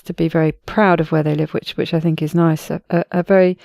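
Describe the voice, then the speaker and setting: An upbeat, friendly woman hosts a podcast, reading her intro and chatting easily. Her voice has a gravelly fry at its edges. The sound is dry and close, with no room around it. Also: low-pitched, level tone, fast talker, dark tone, dry recording. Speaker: podcast host. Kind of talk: scripted intro, then casual interview chat.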